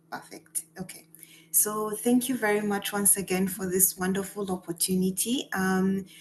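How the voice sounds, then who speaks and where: A woman's voice: mid-range voice, a little hiss or hum, low-quality recording. A woman from Rwanda and Kenya giving an earnest presentation as a peace fellow over a video call, with frequent fillers and restarts. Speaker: guest speaker, peace fellow.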